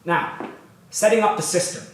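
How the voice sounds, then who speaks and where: High-pitched, glib tech founder, played by a man, echoey. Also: normal speed, lightly acted. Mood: glib, sardonic. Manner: showy, sardonic, flustered asides. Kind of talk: theatrical monologue, staged reading. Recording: very echoey room, distant mic.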